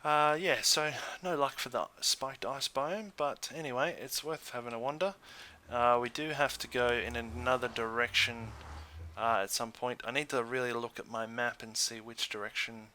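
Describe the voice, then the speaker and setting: A man gives relaxed, informal game commentary in a bright, forward voice at an average male pitch. He often hesitates and thinks out loud as he plays. There is clear background noise on the recording. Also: moderate pace. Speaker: gaming video host.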